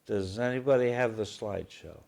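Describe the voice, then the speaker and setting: A man hosting a community gathering, introducing a guest speaker in an informal, halting way with frequent "uh" fillers and wry asides. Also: on the low side, vocal fry, a little mellow, a little hiss or hum.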